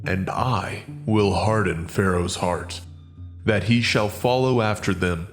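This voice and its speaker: A man voicing God in a dramatized scripture reading, speaking as a slow, solemn and commanding deity who declares each phrase. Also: sits low, soft background music.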